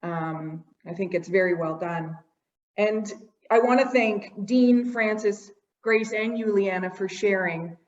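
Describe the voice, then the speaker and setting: A woman's voice: mid-range voice, slow, roomy sound, distant mic, dull audio. Woman host thanking panelists. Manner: appreciative, wraps up speakers. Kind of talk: moderating an online panel.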